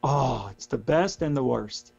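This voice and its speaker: Hoarse middle-aged man, gravelly and dark. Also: medium pitch, expressive, unhurried, soft background music. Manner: casual, rambling with many restarts. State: hoarse, losing his voice. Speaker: guest. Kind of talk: casual radio chat. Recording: dull lo-fi line with mains hum.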